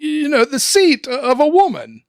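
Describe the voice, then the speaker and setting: Man playing an excitable high-pitched movie director. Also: no room sound, studio quality, exaggerated voice. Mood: halting, eager. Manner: gushing, halting salesman patter. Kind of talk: comedic amateur radio play acting.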